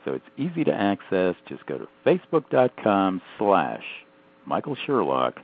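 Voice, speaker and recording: A man hosting a radio talk show, introducing his guest in an emphatic, animated way with frequent fillers. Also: deep voice, normal speed, vocal fry. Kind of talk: radio interview with lively promotional delivery. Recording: tinny phone-like audio.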